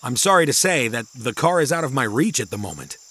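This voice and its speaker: A secretive inventor professor in a children's audio drama. He talks in a measured, cryptic way with a mid-range voice that rises and falls expressively, lending everything an ominous weight. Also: mid-range voice, lively intonation, pushed and bright. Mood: measured, intrigued. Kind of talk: kids' audio drama acting.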